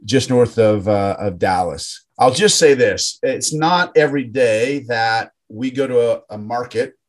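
A man's voice: mid-range voice, a little slow. A man hosting an interview podcast over a video call, talking casually and often restarting his sentences. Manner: rambling, self-correcting, friendly. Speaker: podcast host.

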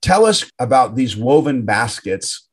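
A man's voice: medium pitch, sing-song, unhurried, breathy edge. A man hosting an interview podcast over a video call, talking casually and often restarting his sentences. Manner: rambling, self-correcting, friendly. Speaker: podcast host.

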